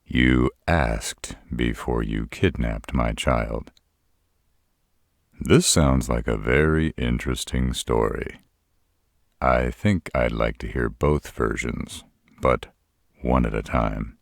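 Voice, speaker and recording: A man playing a gruff, protective older father in a roleplay audio, with a gravelly voice and a slow, deadpan, measured delivery. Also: no room sound, studio quality, exaggerated voice. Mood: dry, stern. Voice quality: deep, gravelly. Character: gruff old father of a werebear's mate, protective, dryly stern.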